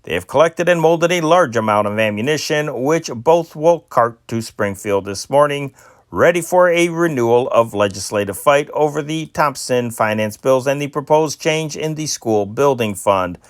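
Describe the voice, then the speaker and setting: A man reading old newspaper stories aloud in a steady amateur style, with small slips and restarts. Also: medium pitch, moderate pace, no echo, studio quality. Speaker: newspaper reader.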